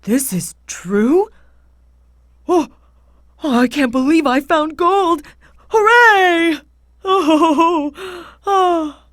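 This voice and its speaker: A woman voicing a scheming, excitable husband who talks to himself in a children's storybook. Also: mid-range voice, slow, dry recording, clean studio sound. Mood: amazed, overjoyed. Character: worried, scheming husband who finds gold.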